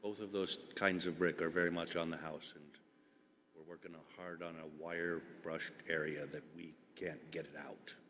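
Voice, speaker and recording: Deep male voice, homeowner testifying, halting earnest delivery, reverberant room, background noise. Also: flat delivery, a bit bright. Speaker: applicant, homeowner at a public hearing. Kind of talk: informal public testimony. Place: indoor public meeting room. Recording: echoey meeting hall with background noise.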